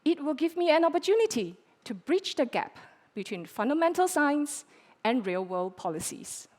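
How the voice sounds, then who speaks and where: A woman in her thirties with a slightly high voice delivers a rehearsed pitch on a conference stage. She speaks in a dramatic, measured way, pausing between phrases for effect. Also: sing-song, a little mellow. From Malaysia. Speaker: postdoctoral climate scientist.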